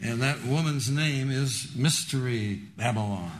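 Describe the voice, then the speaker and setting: An American man giving a prophecy sermon as a guest preacher, speaking in short statements and pausing for an interpreter. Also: mid-range voice, a little hiss or hum. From United States. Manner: pauses after each short statement for the interpreter.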